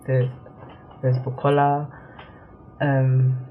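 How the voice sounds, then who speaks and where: A young woman born in Nigeria, chatting casually to camera for her vlog, with many ums and quick laughs. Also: rumbling low, flat delivery, phone-quality sound, faint music behind. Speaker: vlogger. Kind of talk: casual talk to camera, answering a tag.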